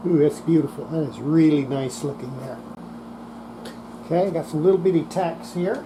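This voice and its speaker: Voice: mid-range voice, normal speed, noisy background. A person narrating hands-on restoration work in a casual way, talking through each step while working. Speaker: host demonstrating radio restoration.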